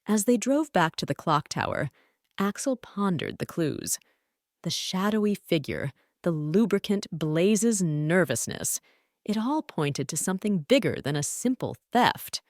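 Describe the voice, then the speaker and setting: A woman's voice: on the low side, big pitch swings, a little slow, a little mellow, no room sound, clean studio sound. A woman narrating a scripted bedtime story for children as a storyteller.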